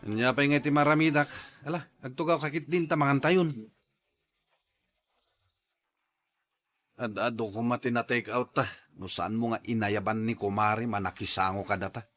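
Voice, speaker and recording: A man's voice: medium pitch, fairly quick, a bit bright, dry recording, lightly acted. A man playing an easygoing, pleasure-seeking husband who talks casually and coaxingly in a radio drama.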